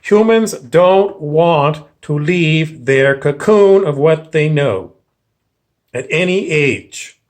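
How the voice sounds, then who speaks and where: A man giving an informal talk, rambling through anecdotes in a casual, animated way and acting out other people. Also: a little high, takes it slowly. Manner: animated, acts out imagined people's complaints. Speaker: speaker giving an informal teaching.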